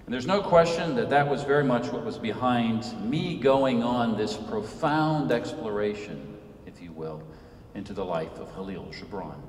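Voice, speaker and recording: Earnest man in his fifties lecturing in church. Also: medium pitch. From Senegal. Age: 50s. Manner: measured, earnest, rises and falls for emphasis. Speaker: guest speaker, author. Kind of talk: public lecture. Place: large church sanctuary. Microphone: podium mic, recorded from far off. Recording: echoey church, distant mic, faint hiss.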